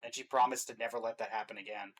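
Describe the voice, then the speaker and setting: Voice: mid-range voice, steady tone, very fast, fairly bright tone, low-quality recording. A host giving casual, opinionated fan commentary in a reaction show.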